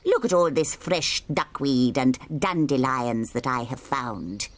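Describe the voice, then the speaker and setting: A woman's voice: extremely low pitch, lively intonation, slow, heavily acted. Woman voicing a fussy storybook duck. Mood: fussing. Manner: fretful, prim. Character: fussy gentle female duck.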